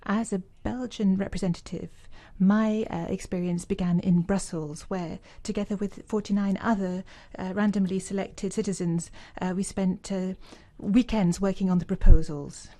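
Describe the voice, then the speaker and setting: A woman's voice: mid-range voice, fairly even intonation, normal speed, few pauses, mellow. A woman working as a simultaneous interpreter at a formal conference, rendering speeches into English with frequent fillers and restarts. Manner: hesitant, many fillers while keeping up with the speaker. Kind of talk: live interpretation of citizen speeches.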